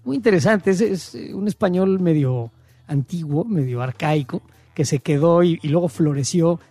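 A man's voice: a little high, normal speed, dark tone, no room sound. A man with a Mexican accent co-hosting a casual chat, joking and slangy. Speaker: co-host.

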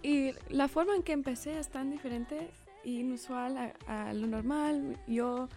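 A young woman with a fairly high voice speaks Spanish with an American English, Spanglish tinge. She answers interview questions in an earnest, reflective, conversational way. Music plays behind her. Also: measured pace, mellow. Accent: Spanglish-tinged Spanish with an American English influence. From California, United States. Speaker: singer-songwriter being interviewed.

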